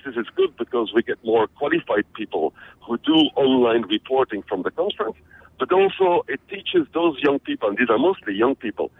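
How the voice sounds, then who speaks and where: A man's voice: medium pitch, gravelly, husky. A man from Belgium, a guest in a radio interview, talking energetically and often repeating words as he starts a sentence. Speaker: interview guest, conference organizer. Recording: tinny phone-like radio line.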